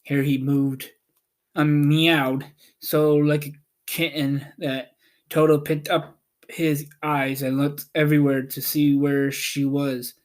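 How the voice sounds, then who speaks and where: A man's voice: on the high side, flat delivery, slow pace. A man reading a children's storybook aloud as narrator. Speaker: audiobook reader and show host.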